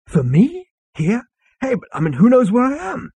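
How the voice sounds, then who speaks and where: A brash, vain alien adventurer with two heads, put on by a man reading a story. He pushes his voice way up and throws it around with swagger and slang. The husky tone stays dry and close. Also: on the high side, big pitch swings, a little fast, no echo, voice pushed up, heavily acted. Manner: cocky, loud, slangy.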